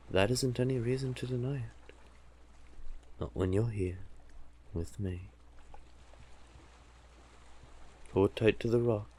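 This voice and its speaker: A man's voice: on the low side, darkish tone. A man voicing a cunning male sea witch who coaxes and teases the listener with condescending endearments in a scripted roleplay audio drama. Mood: soothing, grave. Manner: slow, teasing, condescending endearments, deliberate pauses. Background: ambient sound effects.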